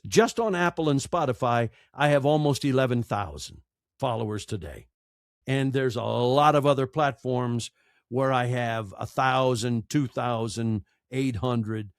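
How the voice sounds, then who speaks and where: A man's voice: medium pitch, dry recording, studio quality. A man speaking as a podcast guest, giving conversational advice emphatically and repeating phrases for effect.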